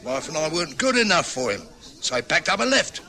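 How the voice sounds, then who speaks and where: Bright, sneery drinker, all bluster, old radio play. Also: male voice, a little high, big pitch swings, brisk, full character voice. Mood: surly. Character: belligerent pub-drinking husband, surly and defensive. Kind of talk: 1960s radio drama acting.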